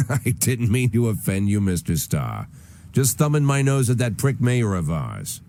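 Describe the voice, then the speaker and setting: An actor playing a sardonic, aging American police chief with a gruff, dry delivery in a video game. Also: male voice, on the low side, very animated, a little slow, warm tone. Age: older. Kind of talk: naturalistic video game voice acting.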